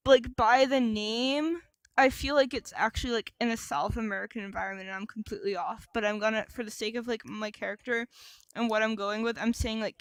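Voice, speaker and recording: A woman co-hosting a podcast in casual chat, describing things haltingly and saying "like" a lot. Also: a little high, no echo. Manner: halting, improvised descriptions with frequent "like". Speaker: podcast co-host.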